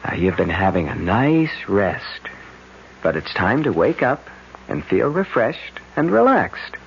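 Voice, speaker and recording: A calm, lively young hypnotist. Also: male voice, lowish voice, measured pace, vocal fry, heavily acted. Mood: soothing. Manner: easygoing, soothing. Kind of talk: 1970s radio drama acting. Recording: dull old radio broadcast, faint hiss.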